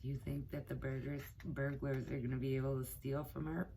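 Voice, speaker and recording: A middle-aged woman reading a children's picture book aloud, pausing for asides to her young listeners. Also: a bass voice, level tone, gravelly, a little hiss or hum. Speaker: children's story time reader, teaching assistant. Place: small cluttered room indoors.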